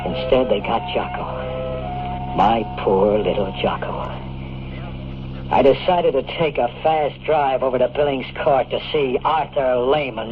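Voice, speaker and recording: An actor playing a cynical, stubborn private detective in a 1950s radio drama, with a slangy, sardonic delivery. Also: male voice, medium pitch, close to an everyday voice. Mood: grim, brooding. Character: wisecracking hard-boiled male private detective, cynical and stubborn. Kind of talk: 1950s radio drama acting with first-person narration. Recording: noisy narrow-band old radio recording.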